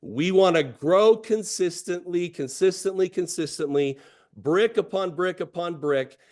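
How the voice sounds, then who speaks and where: A hoarse, pitched-up male voice, bursting with enthusiasm. He repeats words for emphasis and scatters fillers through his pep talk. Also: unhurried. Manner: excited, repeats phrases, many fillers. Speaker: company executive. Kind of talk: motivational team address.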